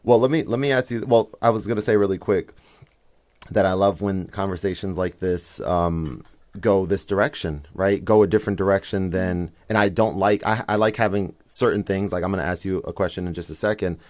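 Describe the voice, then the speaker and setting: A man's voice: sits low, fairly even intonation, brisk, darkish tone. A man hosting a podcast interview, talking with enthusiasm and affirming his guest often. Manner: enthusiastic, affirming with frequent mm-hmms. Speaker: host.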